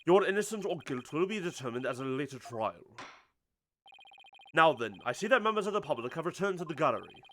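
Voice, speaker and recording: A man plays a stately video game judge. He is solemn and formal, declaiming in a fairly high male voice with lively inflection over faint background noise. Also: a little fast.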